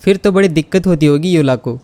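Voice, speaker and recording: A woman's voice: deep voice, rapid-fire, mellow. A woman with an Indian accent, a native Hindi speaker, giving casual gameplay commentary and mixing in English. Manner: informal, switches between Hindi and English. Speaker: gaming channel host.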